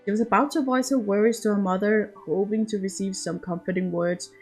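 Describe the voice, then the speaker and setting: Woman reading a story out loud, amateur audiobook style, soft music in the back. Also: mid-range voice, steady tone, a little fast, slightly rough. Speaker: amateur podfic narrator. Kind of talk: scripted story narration.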